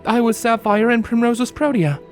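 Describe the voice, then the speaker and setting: A woman playing a wary ex-soldier pony, speaking in a formal, commanding, measured way in a dramatic story reading. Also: fairly high pitch, darkish tone, faint music behind, natural voice. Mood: serious. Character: stern, wary female pony, ex-soldier fugitive. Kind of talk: dramatic audiobook acting.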